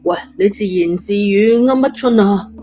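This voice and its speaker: A woman's voice: medium pitch, moderate pace, warm tone, faint music behind, natural voice. A woman voicing a curt, exasperated young man in a Cantonese audio story. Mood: irritated.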